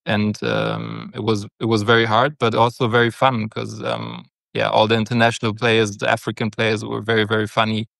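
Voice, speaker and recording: A man's voice: on the low side, monotone, normal speed, vocal fry, few pauses, fairly bright tone, no echo, clean studio sound. A young man from Germany, a football captain, talking casually in an interview with many hesitations. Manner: hesitant, many fillers and restarts.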